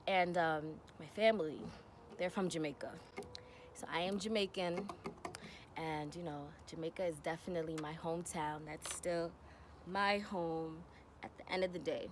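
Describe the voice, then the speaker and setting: A woman's voice: lowish voice, slight background noise. Chatty young woman vlogging. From New York, USA. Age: 20s. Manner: chatty, many "you know" fillers. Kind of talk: casual Q&A vlog.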